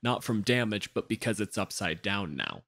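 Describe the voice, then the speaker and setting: A man with a fairly low voice talks through tabletop game material on a podcast. He is analytical and emphatic, and the sound is very dry with little room. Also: very animated, moderate pace, vocal fry, fairly bright tone, no echo. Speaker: podcast panelist. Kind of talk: casual analytical discussion of tabletop game monsters.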